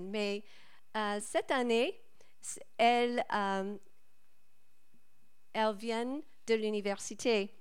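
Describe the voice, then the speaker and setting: An American woman with English as her first language and an American accent, giving a careful, halting greeting to a church. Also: a little high, clear, resonant tone. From United States. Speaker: French teacher leading a visiting team.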